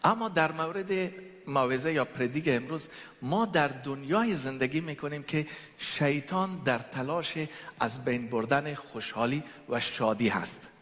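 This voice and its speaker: Rapid-speaking Afghan man preaching in a hall. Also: mid-range voice, a bit bright. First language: Persian. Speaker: guest preacher. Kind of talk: sermon with personal testimony, pausing for an interpreter. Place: large echoing church hall. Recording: long hall reverb.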